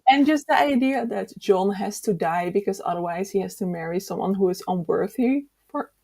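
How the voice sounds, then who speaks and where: Casting: female book-club host, casual and opinionated, animated delivery with a giggly edge, mid-range voice. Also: mid-range voice, moderate pace, creaky. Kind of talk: casual bookish discussion.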